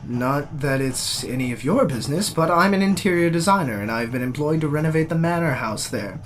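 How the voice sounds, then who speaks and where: Man playing a flustered young gentleman narrator, very varied intonation, polite, incredulous, theatrical, slight background noise. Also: on the high side, expressive, fairly quick, close to an everyday voice. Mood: exasperated. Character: young, prim, flustered interior designer, exasperated gentleman narrator. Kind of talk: theatrical first-person narration and dialogue.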